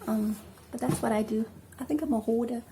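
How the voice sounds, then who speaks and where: A talkative South African woman, recorded on a phone at home, speaks in a fairly high but mellow voice. She rambles loosely through a hair tutorial with plenty of ums. Faint background hiss sits under her speech. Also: brisk. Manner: chatty, rambling, with many ums. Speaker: vlogger. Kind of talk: casual hair tutorial chat.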